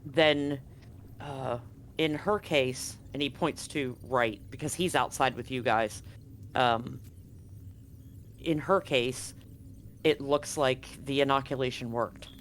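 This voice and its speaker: A man narrating a live tabletop game as game master, conversational and often halting to correct himself. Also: medium pitch, fairly even intonation, fairly bright tone, a little hiss or hum. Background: music.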